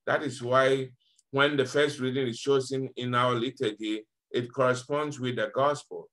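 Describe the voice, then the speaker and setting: A Ghanaian man with a bright, forceful voice at an ordinary male pitch speaks as a priest explaining scripture. His first language is Fanti, and his accent carries through clearly. He pauses and repeats words for emphasis as he talks to a small group over a video call. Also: steady tone. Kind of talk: informal scripture reflection to a group.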